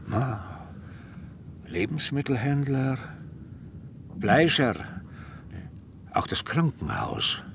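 A slow, deliberate district doctor. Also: male voice, medium pitch, lively intonation, vocal fry, a bit bright, slight background noise, heavily acted. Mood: clinical, explaining. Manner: deliberate, clinical. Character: clinical, deliberate district doctor examining remains. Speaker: medical examiner. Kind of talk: radio drama acting.

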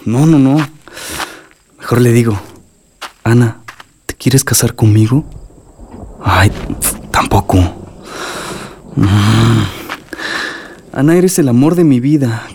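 Actor as mellow, earnest Mexican suitor. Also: male voice, mid-range voice, slow pace, long pauses, a little hiss or hum, natural voice. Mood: nervous rehearsing. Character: nervous, romantic suitor, earnest and devoted. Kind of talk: radio soap opera acting.

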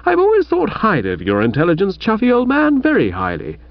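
A chummy, wheedling young gentleman, as a male narrator does him, his tone bouncing lively as he flatters and pleads. Dry and close, but with a dull, muffled sound. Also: fairly high pitch, measured pace, dry recording. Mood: coaxing.

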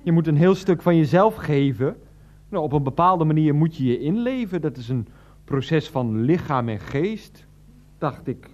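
A man's voice: on the high side, expressive, moderate pace, a little mellow, muffled recording, natural voice. An actor playing a meek, defensive grown son in a radio play, mild and vague as he hesitates. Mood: meekly defensive.

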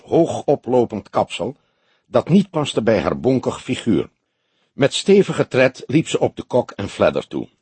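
Husky male audiobook narrator, expressive. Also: medium pitch, dry recording. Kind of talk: scripted narration of a detective novel.

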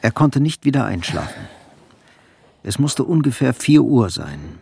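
A man giving measured, scripted literary narration for a radio drama. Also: medium pitch, big pitch swings, gravelly, warm tone, slightly rough. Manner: measured, storytelling. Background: faint music.

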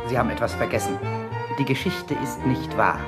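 An actor playing a cheeky, self-assured young writer in a radio play, with a sly, polite manner. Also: male voice, mid-range voice, a little fast, music in the background, lightly acted. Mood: reflective. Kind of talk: radio play acting and narration.

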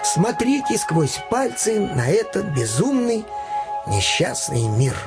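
An actor playing a cowed, world-weary doctor in a theatrical radio play, urging others to give up in an agitated, storytelling way. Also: male voice, high voice, sing-song, slow, music in the background, full character voice. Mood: bitter, resigned.

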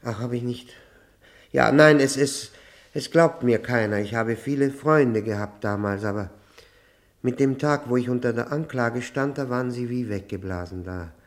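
Actor as a halting, broken male convict. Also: medium pitch, lightly acted. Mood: anguished. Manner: halting, repeating words, faltering. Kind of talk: radio drama dialogue.

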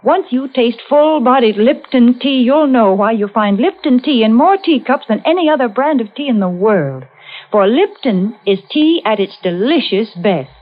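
Animated 1940s radio saleswoman, tinny sound. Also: on the high side. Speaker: commercial announcer, co-host. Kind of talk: scripted 1940s radio advertising. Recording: tinny old radio recording.

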